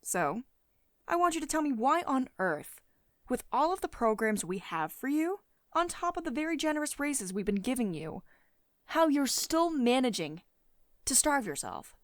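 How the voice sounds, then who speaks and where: A woman's voice: high voice, sing-song, normal speed, no room sound, clean studio sound. A woman playing a stern, commanding CEO in an ASMR roleplay, scolding sardonically and condescendingly, with a caring streak. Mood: concerned, exasperated. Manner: domineering, sardonic, clipped reprimands with sugary pet names.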